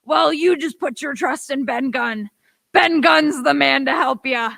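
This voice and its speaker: A woman playing a half-mad, excitable marooned old sailor man with rustic pirate speech in a radio play. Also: high voice, measured pace, vocal fry, no room sound, full character voice. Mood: excited. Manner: rustic pirate speech, exclaiming, repeating himself.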